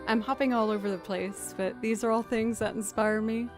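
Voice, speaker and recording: Chatty low-voiced woman streamer. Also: fairly even intonation, moderate pace, soft background music. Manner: chatty, rambling, self-deprecating. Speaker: streamer and musician. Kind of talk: casual chat with live chat.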